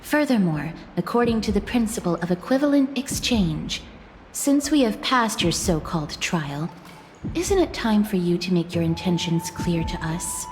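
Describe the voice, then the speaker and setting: An actress as a formal young goddess in echoing hall. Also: female voice, on the low side, lively intonation, darkish tone, close to an everyday voice. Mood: firm, guarded. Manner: formal, earnest, measured. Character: polite, guarded, principled young goddess of death. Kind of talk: video game voice acting. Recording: long hall-like echo, music underneath. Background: game music.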